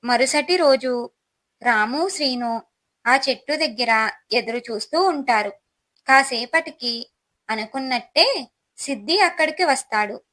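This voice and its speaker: A woman's voice: high-pitched, animated, unhurried, pauses often, bright, forceful tone. A woman narrating a scripted moral story as the storyteller.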